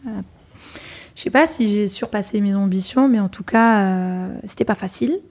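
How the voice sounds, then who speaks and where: A woman's voice: mid-range voice, moderate pace. A woman in her thirties from Algeria, a journalist and presenter, chatting as a guest in an interview, laughing often and restarting her sentences. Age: 30s. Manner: lively, laughs often, many fillers and restarts. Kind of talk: casual interview talk.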